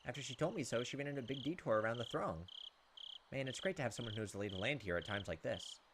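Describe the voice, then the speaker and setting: A man's voice: mid-range voice, lively intonation, very fast, noisy background. A man reading a visual novel aloud as a let's play host, with casual, crude joking asides.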